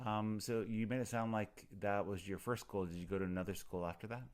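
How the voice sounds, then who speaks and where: A man's voice: on the low side, steady tone, fairly quick, clean tone. A man conducting a casual oral history interview, stammering into his questions and rephrasing them as he goes.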